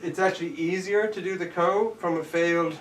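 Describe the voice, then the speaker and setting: A man's voice: high voice, steady tone, a little fast. Man coaching jujitsu in an echoey room. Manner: demonstrating moves while talking, counting out steps. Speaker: jujitsu instructor. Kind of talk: live online martial arts class. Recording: echoey room, distant mic, faint background noise.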